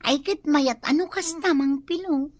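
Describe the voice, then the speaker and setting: An actress playing a modest, hardworking office employee in a radio comedy drama. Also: female voice, high voice, unhurried, no room sound.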